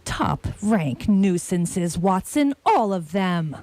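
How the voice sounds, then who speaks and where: A woman's voice: on the low side, very animated, slow, darkish tone. A woman playing a grumpy, conceited detective in a comic radio play, with a pompous, sarcastic and theatrical delivery. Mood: haughty, preoccupied. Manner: pompous, dryly sarcastic, dramatic.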